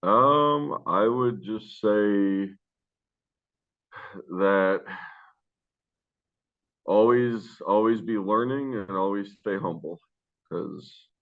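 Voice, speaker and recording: A man, a high school basketball coach, answering interview questions in a loud, deep voice, rambling with fillers and restarts. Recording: tinny phone-line sound.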